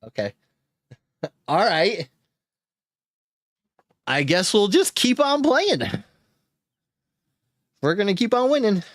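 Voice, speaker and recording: Lively-voiced man thinking aloud over a card game. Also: high-pitched, slow pace, long pauses, no room sound, studio quality. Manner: thinks aloud, chuckles, cheers. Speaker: streamer playing a card game. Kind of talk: casual gameplay commentary.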